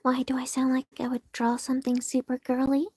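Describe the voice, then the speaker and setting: A woman chatting candidly with her viewers while she draws on a live stream, often half-whispering in a soft, very feminine voice, picked up by her phone. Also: high-pitched, monotone, brisk, slightly rough, dry recording, studio quality. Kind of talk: casual livestream chat answering viewers.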